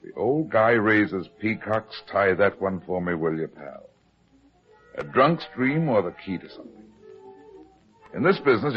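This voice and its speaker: An actor playing a hard-boiled, heavyset private detective, terse and deadpan, in old-time radio style. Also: male voice, fairly low pitch. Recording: dull, lo-fi old radio drama recording.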